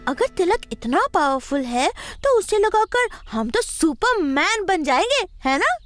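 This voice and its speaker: A woman's voice: extremely high pitch, very animated, a little slow, a bit bright, faint music behind, full character voice. A woman voicing a curious, eager little schoolgirl in an educational cartoon, wide-eyed and inquisitive. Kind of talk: animated educational cartoon dialogue.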